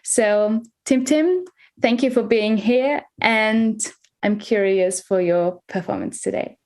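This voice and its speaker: A woman's voice: medium pitch, takes it slowly, a little mellow. A woman hosting an online reading, introducing the next poet with a few hesitations. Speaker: host introducing a reader.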